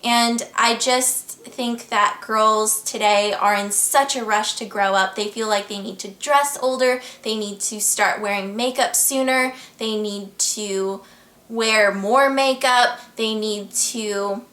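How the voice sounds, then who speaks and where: A young woman with an American accent talks to her camera at home, answering viewer questions in a chatty, earnest and upbeat way. Her voice sits a little high and sounds crisp and bright. The room around her rings with noticeable echo. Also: fairly even intonation, slow. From United States. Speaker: vlogger. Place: at home, indoors.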